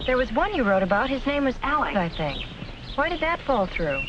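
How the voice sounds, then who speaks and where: Animated young woman, noisy old film. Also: sits high, a little fast, highs cut off. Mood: curious. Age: young adult. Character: blunt, easygoing young woman, friend who won't be pushed. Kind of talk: 1950s educational film acting.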